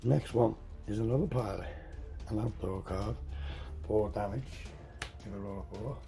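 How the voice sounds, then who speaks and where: A hoarse man getting over a cold walks through a tabletop game setup, halting, correcting himself and clearing his throat. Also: on the low side, mellow, soft background music. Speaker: tabletop game tutorial host. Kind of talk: casual step-by-step game setup walkthrough.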